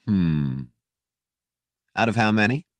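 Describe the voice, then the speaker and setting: A man's voice: lowish voice, lively intonation, slow, dry recording, pitched above the performer's own voice. A man playing a self-critical young starfighter pilot in an audiobook, speaking in terse commands and questions.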